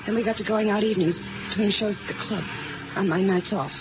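A woman's voice: medium pitch, fast, close to an everyday voice. An American actress playing a frightened, fragile young woman with hesitant speech, in 1950s radio drama style. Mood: bitter, subdued. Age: young adult. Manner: hesitant, breaking off and repeating herself. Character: frightened, lonesome young female club worker, haunted and fragile. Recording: tinny, noisy old radio recording.

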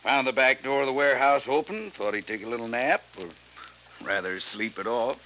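A man's voice: mid-range voice, fairly quick, close to an everyday voice. An actor playing a cocky, teasing male arson expert in a 1950s radio drama, speaking in a jaunty, needling way. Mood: amused, explaining. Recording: muffled, noisy old radio recording.

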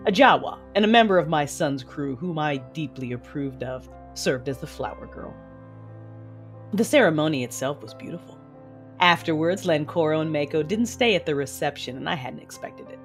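A proud, formal matriarch over music. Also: female voice, deep voice, expressive, moderate pace. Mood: proud, reflective. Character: proud, imperious mother of a powerful sci-fi family. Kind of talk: first-person audio drama narration.

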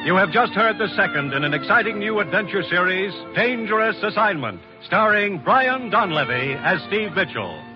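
A man's voice: high voice, lively intonation, normal speed, hardly any pauses, music in the background. An American man announcing a 1940s radio programme in a formal period style. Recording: dull 1940s radio recording.

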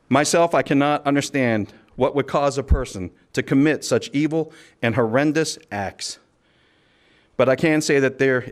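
A man speaking as a sheriff at a press conference, delivering a formal statement in a measured, official, occasionally halting way. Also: mid-range voice. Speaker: county sheriff.

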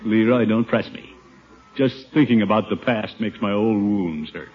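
An actor playing a pompous, blustering middle-aged American bachelor uncle in a 1950s radio situation comedy. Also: male voice, lowish voice, normal speed, slight background noise, lightly acted. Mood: boastful. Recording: dull old 1950s radio recording.

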